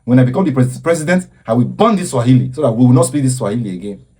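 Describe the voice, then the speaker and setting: A man's voice: mid-range voice, expressive, rapid-fire, mellow, breathy edge. A man giving impassioned social commentary in an earnest, preaching way, often stopping to repeat himself.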